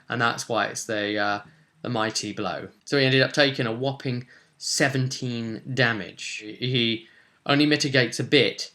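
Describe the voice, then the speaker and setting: A man's voice: mid-range voice, sharp tone. A man, casually recounting a tabletop roleplay session as game master in a rambling, colloquial way.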